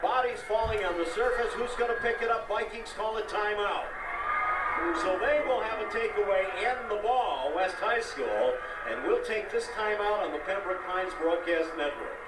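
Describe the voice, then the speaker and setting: An American man calling live play-by-play as a radio sportscaster, excited and projecting in punchy short phrases, on an archive broadcast clip. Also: sits high, normal speed, reverberant, far from the mic, very noisy.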